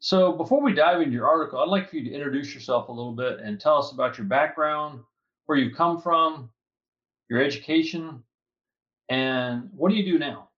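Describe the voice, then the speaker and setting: A man's voice: a little high, fairly quick, a bit bright. A man hosting an interview, opening with scripted introductions and asking questions in an upbeat, friendly tone. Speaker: interview host, security advisor. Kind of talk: hosted interview. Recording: slightly dull lo-fi audio.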